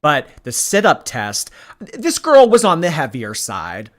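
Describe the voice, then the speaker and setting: A man's voice: a little high, huge pitch swings, a little slow. A man giving blunt, sarcastic, profane reaction commentary as the channel's host. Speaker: commentary YouTuber.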